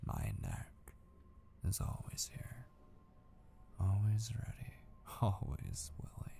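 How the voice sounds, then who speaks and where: A man acting an obsessive, possessive kidnapper in an intimate ASMR roleplay, patient and menacing, hushed and often slipping into a breathy whisper. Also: low voice, full character voice. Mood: coaxing, possessive.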